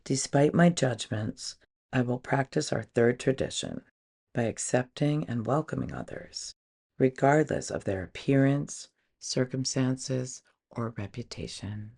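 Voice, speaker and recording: A woman's voice: very deep voice, a little slow, vocal fry, a little mellow, husky. A woman reading a daily recovery meditation aloud as a guest on a podcast.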